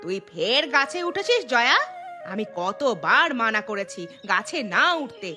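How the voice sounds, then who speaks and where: A woman's voice: on the high side, sing-song, unhurried. A harried mother from a poor village, acted by a woman in a cartoon story. She nags and complains in a scolding way. Music plays behind her voice. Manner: nagging, complaining.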